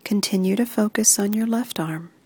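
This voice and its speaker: A woman reading a guided muscle relaxation script in soft, even instructions that often sink into a whisper. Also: medium pitch, normal speed, slightly rough, no room sound.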